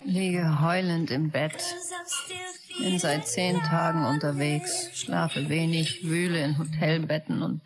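A woman's voice: low-pitched, fairly even intonation, takes it slowly, low-quality recording. An actress playing a controlling, lovesick female tech-company executive in an audio drama, as if reading out her e-mails. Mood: longing, weary. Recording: music behind the voice. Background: music.

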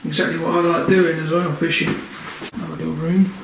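A man's voice: a little high, level tone, brisk, creaky, a bit bright. Guy doing a casual explore vlog, chatty, really echoey room, bit of noise behind him. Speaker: paranormal explorer, channel host. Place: indoors, walking through empty derelict building. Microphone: handheld camera. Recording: echoey empty rooms, background noise.

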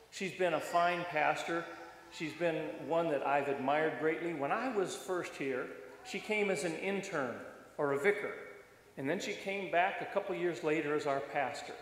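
A man's voice: a little high, unhurried. An older man, a retired pastor, preaching a folksy, colloquial sermon to a congregation in a church. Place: church sanctuary, standing at the front. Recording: very echoey church hall.

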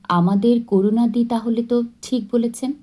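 Woman acting a high-pitched, arch girlish sweetheart. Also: fairly even intonation, normal speed, dark tone, close to an everyday voice. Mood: curious. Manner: inquisitive, arch.

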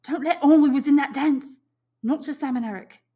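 A woman voicing an anxious, stubborn boy in an audiobook, shrill, excited and insistent. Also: normal speed, close to an everyday voice. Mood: insistent, defensive. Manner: excited, insistent, ungrammatical schoolboy talk.

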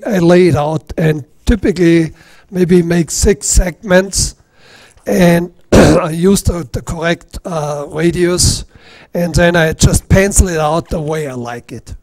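A middle-aged man with an Austrian accent giving a casual, halting workshop demonstration, with self-deprecating asides. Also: fairly high pitch, a little mellow, no echo. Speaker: woodturning demonstrator. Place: club meeting room.

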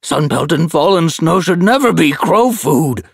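A man's voice: mid-range voice, animated, unhurried, gravelly, slightly rough, dry recording, studio quality, voice pushed up, heavily acted. A man voicing a frail, grieving old male cat elder in a storybook reading, speaking in a shaky, protesting way.

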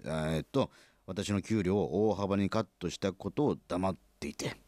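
An actor playing a flustered, good-natured middle-aged company president in a comic radio drama, stammering and hesitant. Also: male voice, low-pitched, normal speed, darkish tone, dry recording, natural voice. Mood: flustered, evasive.

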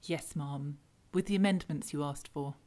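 A woman playing a supportive, deferential political aide, speaking in a hushed, respectful way in an audio drama. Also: sits low, moderate pace, close to an everyday voice. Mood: reassuring. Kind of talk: radio drama acting.

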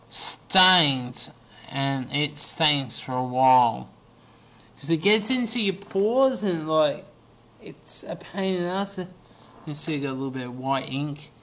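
A man in his twenties talks slowly into his webcam from a small room, worn out and going hoarse. He wanders through his story with plenty of ums and false starts, as if just chatting to friends. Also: a little high, a bit bright. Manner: rambling, slow, many ums and restarts. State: losing his voice, tired. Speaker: vlogger. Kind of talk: casual video diary. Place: small bedroom indoors. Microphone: webcam at desk.

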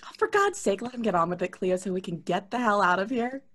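An actress playing a whiny, fed-up young twin sister in an audio drama, complaining and sighing. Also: female voice, mid-range voice, very animated, quick pace, a bit bright.

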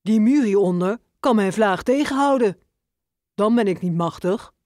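A woman's voice: medium pitch, lively intonation, normal speed, darkish tone, no room sound, clean studio sound, lightly acted. A woman voicing a weary, sighing wind in a children's fable.

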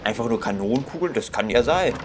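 A young adult man thinking aloud in casual gameplay commentary, musing and halting as he mutters to himself. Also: mid-range voice, expressive. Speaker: let's player. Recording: clear background noise.

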